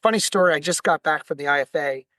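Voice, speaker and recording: Man, slightly hoarse, somewhat high pitch, dry sound, earnest, explanatory, webinar guest. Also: quick pace, pushed and bright, no room sound. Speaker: guest, franchise company founder. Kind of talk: webinar interview, explanatory business talk.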